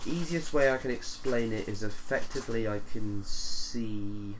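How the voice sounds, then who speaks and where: Guy in a tabletop game, kinda low voice, casual, bit of room noise. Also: measured pace, low-quality recording. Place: small living room, group around coffee table.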